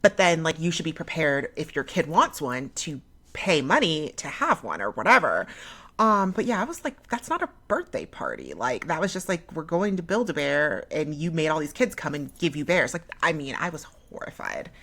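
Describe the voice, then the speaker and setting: A woman in her thirties chatting casually as a podcast co-host, telling stories colloquially and acting out reported exchanges in an animated way. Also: low-pitched, moderate pace. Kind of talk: casual conversational storytelling with quoted dialogue.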